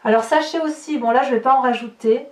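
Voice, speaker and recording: A woman's voice: high voice, fast talker. A woman improvising a tarot reading for her viewers, breaking into exclamatory, astonished asides as she comments on the cards. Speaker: tarot reader and channel host. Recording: roomy echo, distant mic, background noise.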